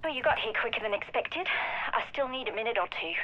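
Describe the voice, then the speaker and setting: An actress playing a self-assured, defiant woman scientist in a game, brisk and confident. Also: a little high, lively intonation, highs cut off, slight background noise. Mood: brisk, wry. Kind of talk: video game cutscene acting. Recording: loud music over the voice.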